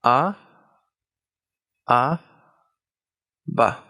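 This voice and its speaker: Casting: male tutor, native Hebrew speaker, mid-pitched voice, deliberate instructional read that repeats key words for learners. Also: lively intonation, pushed and bright. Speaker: language teacher. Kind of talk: instructional lesson, explaining step by step.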